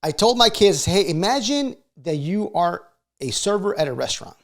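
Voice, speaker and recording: A middle-aged man talks in a fairly high voice with plenty of gravelly creak. He gives casual, motivational sales advice as a video host, conversational and often tagging his points with little check-in questions. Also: big pitch swings, moderate pace. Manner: conversational, frequently seeks agreement with short check questions.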